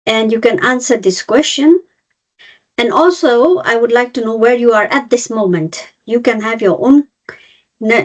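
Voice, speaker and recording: A woman's voice: fairly high pitch, darkish tone. A woman in her fifties from Nepal and India, presenting an online webinar as a cross-cultural trainer and explaining things step by step with frequent fillers and restarts. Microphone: video call. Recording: dull lo-fi video call audio.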